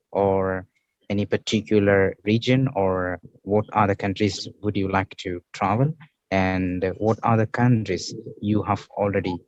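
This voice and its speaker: A man interviewing a guest, asking questions in slow, searching English. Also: fairly low pitch, darkish tone, dry recording, highs cut off.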